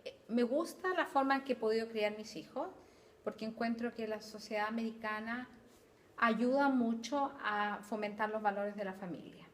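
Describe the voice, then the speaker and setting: A woman's voice: on the high side, fairly bright tone, a little hiss or hum. A middle-aged woman from Chile, a Spanish teacher, answering interview questions thoughtfully with hesitant repeats.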